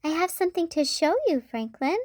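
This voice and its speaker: A woman voicing a kindly female bear doctor in a storybook, soothing and reassuring, with a gentle sing-song delivery. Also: high voice, animated, a little fast, dry recording, clean studio sound, pitched above the performer's own voice. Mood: tender.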